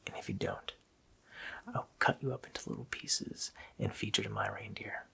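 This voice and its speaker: A wicked, child-snatching Christmas demon in an ASMR roleplay. The voice is a sharp, hissing whisper that breaks into gravelly fry. He taunts slowly, with mocking menace. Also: on the low side, full character voice. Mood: threatening. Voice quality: breathy, gravelly rasping whisper.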